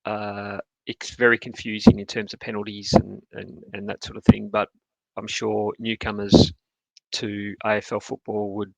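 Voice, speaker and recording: A man's voice: fairly low pitch, monotone, gravelly, dry recording, poor audio. A man who is a podcast guest, chatting casually about sport and often restarting his sentences. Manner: hesitant, restarts often, measured.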